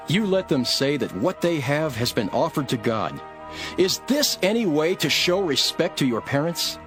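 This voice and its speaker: Man acting a firm holy teacher, earnest, mid-pitched, very expressive intonation, audio Bible drama, music underneath. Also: lightly acted. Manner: earnest, admonishing. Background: background music.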